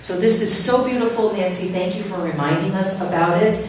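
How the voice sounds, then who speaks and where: A woman's voice: mid-range voice, brisk, background noise. Chatty woman minister in a roomy hall. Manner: chatty, self-deprecating, gentle instructions. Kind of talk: guiding a breathing exercise in a service. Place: church sanctuary. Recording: roomy hall, voice from far off, faint ambience.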